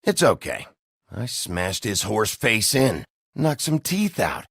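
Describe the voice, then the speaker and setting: An actor playing a gruff, world-weary sheriff in a noir video game, blunt, terse and sardonically threatening. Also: male voice, fairly low pitch, big pitch swings, slow, a bit bright, no echo, studio quality, heavily acted. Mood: hard, threatening.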